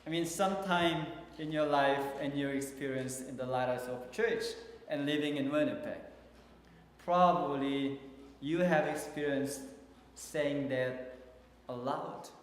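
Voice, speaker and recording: Korean-accented male pastor, slow, halting sermon delivery, echoey hall sound. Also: on the high side. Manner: slow, halting, with many fillers and restarts. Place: large church hall.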